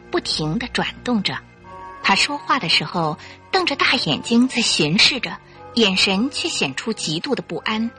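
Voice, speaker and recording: A woman narrator telling a scripted radio drama story with expressive delivery. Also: mid-range voice.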